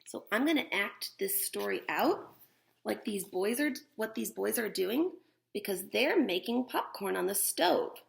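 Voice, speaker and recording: A woman teacher reads a picture book aloud to young children and explains things simply as she goes. Her pitch moves in a lively, animated way at a middle height, with plenty of vocal fry. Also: measured pace. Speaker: teacher reading a story to children.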